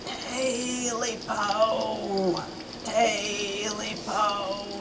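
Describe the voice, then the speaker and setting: A ghostly creature calling out for its lost tail in a slow, hollow wail, as a woman reads it aloud. Each word is stretched long and breathy, and the voice sounds spooky and soft. There is a clear hiss of room noise behind it. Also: medium pitch, level tone. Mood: eerie.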